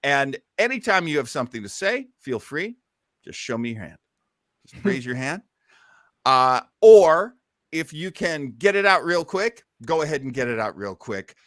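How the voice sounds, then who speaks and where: A man's voice: a little high, sing-song, moderate pace, a bit bright, no echo, studio quality. A man hosting a casual live online show in an effusive, folksy way with plenty of exclamations. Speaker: host of an online listening show.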